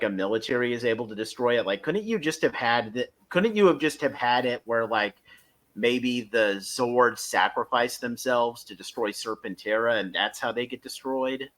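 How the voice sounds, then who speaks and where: A man hosting a casual fan discussion, speaking in halting stretches with emphatic bursts. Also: medium pitch, fairly bright tone. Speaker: podcast host reviewing a fan audio drama.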